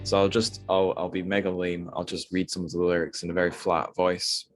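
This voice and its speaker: A man's voice: deep voice, little pitch movement, fairly quick, faint music behind. A man hosting a podcast, chatting casually about literature in a rambling, self-deprecating way. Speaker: podcast host.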